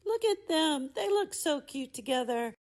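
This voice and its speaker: A woman voices a doting, sociable mother in a motion comic, in a high voice. Her manner is sweet and cheerful. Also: lively intonation, a little slow. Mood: fond.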